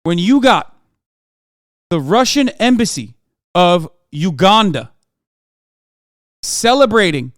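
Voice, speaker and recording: A man's voice: fairly high pitch, clear, resonant tone, dry recording, clean studio sound. A man from Turkey, in his thirties, giving heated, profane reaction commentary as a streamer, lecturing and emphatic.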